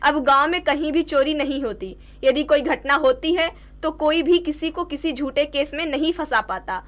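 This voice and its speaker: A woman news presenter with a distinctly high voice. She reads a scripted report in a narrating news style. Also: normal speed, fairly bright tone.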